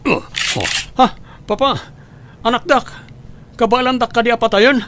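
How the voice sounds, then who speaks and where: A man's voice: extremely high pitch, steady tone, moderate pace, a bit bright. A man playing a kind, distressed adult son in an audio drama, speaking in an earnest, pleading way. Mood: hurt, pleading. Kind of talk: Ilocano audio drama acting.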